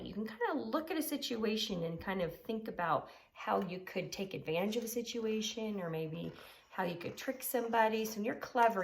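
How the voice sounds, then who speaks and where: A woman teaching young children in the manner of a storybook read-aloud. Her pitch moves in wide, animated swings at a medium height. She explains things simply, stressing key words and asking rhetorical questions. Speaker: elementary school teacher.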